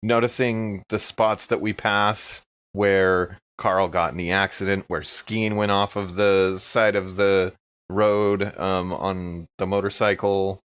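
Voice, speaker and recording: A man's voice: deep voice, little pitch movement, slow pace, a bit bright, clear, resonant tone, highs cut off. A man playing a tabletop game, talking casually and improvising as his character.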